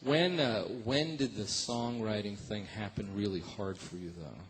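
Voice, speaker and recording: A man's voice: on the low side, a little slow. Male radio host with a chatty interview style. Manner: conversational, many fillers and restarts. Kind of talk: interviewing a musician. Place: radio show studio.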